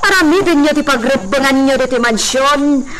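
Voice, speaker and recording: A woman's voice: high-pitched, normal speed, a loud crowd, close to an everyday voice. A woman with an Ilocano accent playing a bossy, scheming mansion madam in an audio drama, giving orders in a commanding manner. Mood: stern, commanding. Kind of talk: Ilocano radio-style drama acting. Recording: noisy track with crickets and insects.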